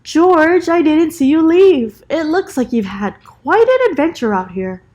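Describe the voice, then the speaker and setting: A woman voicing a kindly, patient man who looks after a pet monkey, in a gentle, encouraging storybook manner. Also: sits high, big pitch swings, unhurried, pitched above the performer's own voice. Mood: concerned, kindly. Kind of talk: children's storybook character voice.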